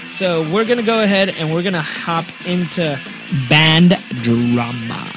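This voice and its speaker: High-voiced young man hosting a podcast. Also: measured pace, over music. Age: 20s. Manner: slangy, joking. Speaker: podcast host. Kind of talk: casual podcast chat.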